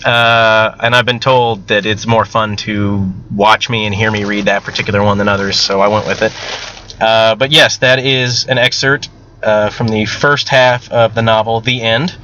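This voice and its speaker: A man's voice: on the low side, fairly even intonation, normal speed, pushed and bright, lo-fi sound. A man talking casually and unscripted to viewers as an amateur writer, rambling, candid and self-deprecating, with casual swearing. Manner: casually profane. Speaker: amateur novelist and video host.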